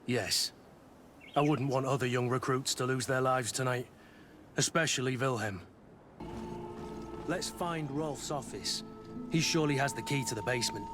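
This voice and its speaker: A man's voice: mid-range voice, normal speed, pauses often, over music, lightly acted. An actor playing an honour-bound soldier captain in a video game, protective of his recruits, solemn and intense. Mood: determined.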